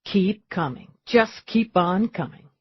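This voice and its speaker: A woman voicing a hard-edged female police detective who speaks low, grim and clipped, in a dull lo-fi audiobook recording. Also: lively intonation, takes it slowly, breathy edge.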